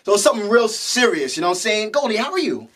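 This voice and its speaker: A man's voice: high-pitched, very animated, fast talker, fairly bright tone, breathy edge. A man hosting a casual interview in a slangy hype-man style, repeating his catchphrases. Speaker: host interviewer.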